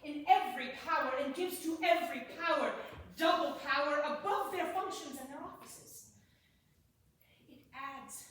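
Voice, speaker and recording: A woman playing a witty, passionate young lord in a Shakespeare staged reading, declaiming verse with dramatic pauses. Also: sits high, fairly bright tone. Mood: persuasive, musing. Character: witty, passionate young lord, eloquent lovesick male scholar. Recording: distant, echoey hall with faint noise.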